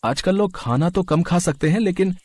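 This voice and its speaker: A man voicing a poor but shrewd carpenter father, coaxing and ingratiating, in an animated moral story. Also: sits high, moderate pace, no room sound. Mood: coaxing, persuasive.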